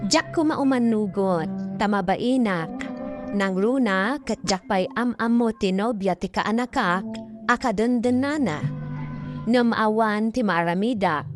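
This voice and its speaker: A woman's voice: mid-range voice, unhurried, close to an everyday voice. A woman voicing the first-person narrator of a sorrowful family story, in scripted drama narration. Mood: sorrowful, resigned. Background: music under the voice.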